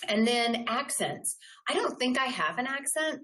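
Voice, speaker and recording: A middle-aged woman from the American South presenting a live webinar, loud and enthusiastic, talking in a conversational way and often checking in with "right?". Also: on the high side, expressive, measured pace. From Southern United States. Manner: loud voice that carries.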